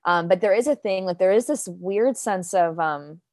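A woman's voice: on the low side, moderate pace, darkish tone, no room sound, clean studio sound. A young woman poet from Denmark chatting in an interview, with lots of fillers and frequent laughs. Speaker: guest poet. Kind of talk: casual interview chat. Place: New York.

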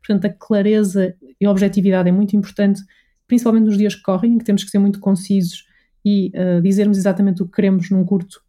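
Very mellow Portuguese woman explaining. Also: mid-range voice, little pitch movement, fast talker. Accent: European Portuguese. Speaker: voice and communication coach, interview guest. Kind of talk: conversational interview explanation.

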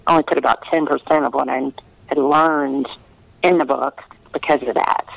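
Middle aged woman author being interviewed, creaky voice, earnest n halting, dry call sound. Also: rumbling low, steady tone, dry recording, poor audio. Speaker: interviewed historical novelist. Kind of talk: conversational interview answers.

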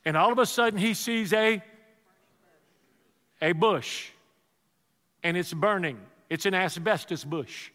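A man preaching a sermon, telling the story with lively energy and quizzing the congregation. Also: high-pitched, takes it slowly, pauses often, in a large hall. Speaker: pastor.

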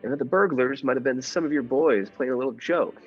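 A man's voice: mid-range voice, fairly quick, breathy edge, muffled recording, faint music behind, lightly acted. A man playing a meek, nervous henpecked husband who narrates his scheme in a radio play, hesitant, earnest and formal. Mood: pleased, hesitant. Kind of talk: improvised-cast classic radio play narration.

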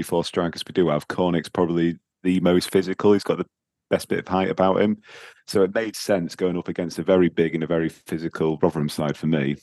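A man from the north of England, a guest fan on a football podcast, with a croaky voice and an eager way of talking. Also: deep voice, darkish tone, dry recording, clean studio sound. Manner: enthusiastic, repeats "really, really". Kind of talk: casual football podcast chat.

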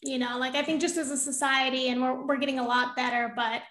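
A young woman with a high, bright-sounding voice talks in a bubbly, encouraging way, breaking into laughs as she chats. She is a guest on a podcast, and her voice rings out in a noticeably echoey room. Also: little pitch movement, quick pace. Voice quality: high-pitched voice. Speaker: podcast guest, real estate investor. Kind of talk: casual interview conversation.

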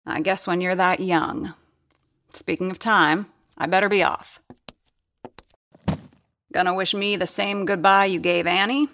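A woman's voice: fairly low pitch, fairly even intonation, a little slow, pauses often, fairly bright tone, slight background noise. An actress playing a worldly woman in an audio drama, dry, knowing and teasing. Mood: wry, teasing. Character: wry, worldly woman, teasing and a little jealous.

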